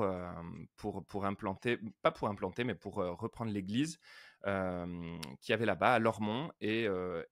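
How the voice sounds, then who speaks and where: Low-voiced middle-aged Frenchman, casual guest. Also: dry recording, clean studio sound. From northern France. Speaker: podcast guest, worship pastor. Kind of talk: casual interview chat.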